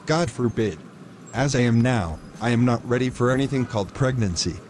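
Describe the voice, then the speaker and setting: A man's voice: medium pitch, animated, brisk, darkish tone, slight background noise, natural voice. A man playing a pushy, selfish young boyfriend in a scripted moral story, speaking in formal, wordy, insistent phrases. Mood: dismissive, evasive.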